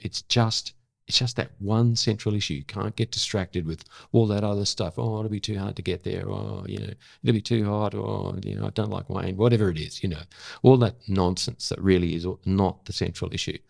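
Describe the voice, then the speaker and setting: A seventy-year-old Australian man talking reflectively as an interview guest, thoughtful and halting, repeating words for emphasis. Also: on the low side, fairly even intonation, creaky, dry recording, studio quality. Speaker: interview guest, former journalist and actor.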